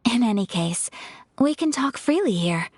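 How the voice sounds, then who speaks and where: A composed young noblewoman in a game, pitched a little high with a soft breathy edge. She speaks formally and courteously, every phrase measured. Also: very animated. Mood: calm formal. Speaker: noble of a ceremonial commission. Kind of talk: video game voice acting.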